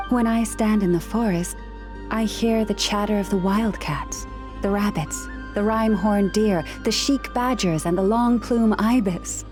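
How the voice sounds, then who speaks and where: A woman voicing a gentle young woman who hears animals, speaking softly and patiently with a slightly wry touch. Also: mid-range voice, close to an everyday voice. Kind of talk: game character dialogue.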